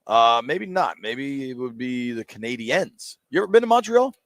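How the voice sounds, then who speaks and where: A man's voice: medium pitch, big pitch swings, moderate pace, dry recording, clean studio sound. A man hosting a live sports radio show, joking and teasing while running through bracket picks. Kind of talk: casual sports radio banter. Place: sports bar, live broadcast.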